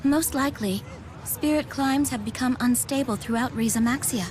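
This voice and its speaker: A woman's voice: high voice, normal speed, a crowd in the background, natural voice. An actress playing a serious, analytical young woman in a fantasy video game, composed and precise. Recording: loud music behind the voice. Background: loud background music.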